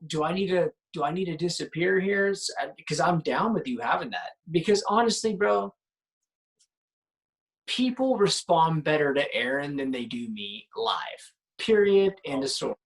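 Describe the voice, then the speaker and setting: A young man, a band's singer with a high voice for a man, talking casually and animatedly in an interview, often restarting his sentences. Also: animated, normal speed, low-quality recording. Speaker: band singer.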